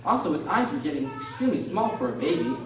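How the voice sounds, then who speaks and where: Animated woman narrator in a noisy room. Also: fairly low pitch, fast. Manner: expressive, theatrical storytelling. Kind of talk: scripted storybook narration for radio drama. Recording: echoey room, distant mic, loud noise.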